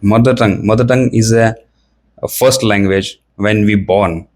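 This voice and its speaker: A young man from India with an Indian English accent, a learner practicing spoken English, pausing often and searching for words. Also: lowish voice, fairly even intonation, a little mellow. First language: Hindi or another Indian language. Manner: hesitant, searching for words, many fillers. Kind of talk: casual practice conversation.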